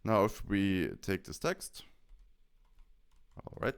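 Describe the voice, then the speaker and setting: A man narrates a software tutorial in a casual, step-by-step manner. His voice is clean and smooth, and his pitch moves around a good deal as he explains. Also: animated, takes it slowly. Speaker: tutorial presenter.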